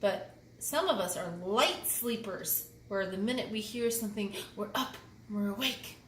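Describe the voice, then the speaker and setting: A woman giving an expressive picture-book read-aloud, pausing to explain things to young children. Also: medium pitch. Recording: roomy echo with faint background noise.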